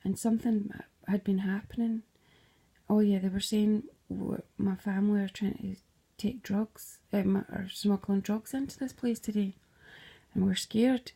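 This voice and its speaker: A woman's voice: mid-range voice, steady tone, moderate pace, gravelly, mellow, husky. A Scottish woman casually retelling a dream, rambling, slipping into whispered asides and swearing freely.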